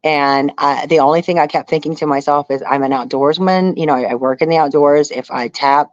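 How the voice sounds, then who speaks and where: A woman's voice: sits low, fairly even intonation, brisk, darkish tone, no echo. Female interview guest from Texas, candid conversational storyteller, dull lo-fi and very dry recording. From Houston, Texas area. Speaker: interview guest, outdoorswoman and reality show contestant.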